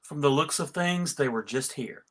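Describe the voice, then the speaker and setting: A man reads a resolute warrior heroine in a bright, slightly high voice. The delivery is cool, deadpan and commanding, in a live comic script reading. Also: normal speed. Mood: cool, firm. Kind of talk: live comedic table read.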